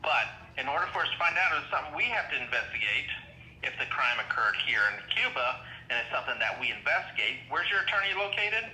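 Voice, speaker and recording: A man's voice: medium pitch, very animated, quick pace, pushed and bright. A man answering an official police phone call, speaking in a blunt, procedural way. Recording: echoey telephone line with faint noise.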